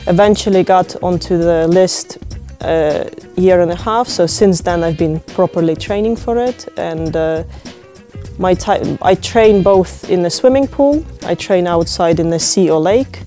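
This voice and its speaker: Low, mellow woman. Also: soft background music. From Ukraine. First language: Ukrainian. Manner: unhurried, with many fillers and restarts. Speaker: interviewee, open-water swimmer. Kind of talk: reflective interview talk.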